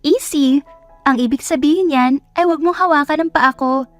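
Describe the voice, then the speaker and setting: A woman's voice: high voice, normal speed, no echo, faint music behind, pitched above the performer's own voice, close to an everyday voice. A woman voicing a boastful, silly young female friend in a comic moment of an audio drama, breezy and overconfident.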